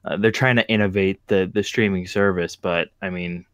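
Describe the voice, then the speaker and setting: A young man in his twenties on a gaming podcast, speaking low with a dry, close sound. He circles his point, with plenty of restarts and fillers before he lands it. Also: steady tone, normal speed, a bit bright, clean tone, no echo. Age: 20s. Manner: hesitant, lots of restarts and fillers. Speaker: podcast co-host. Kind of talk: casual podcast chat.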